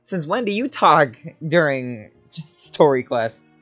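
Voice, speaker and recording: A woman's voice: sits low, very animated, takes it slowly, a bit bright, faint music behind. A woman giving casual reaction commentary as she plays a video game. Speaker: gamer reacting to gameplay.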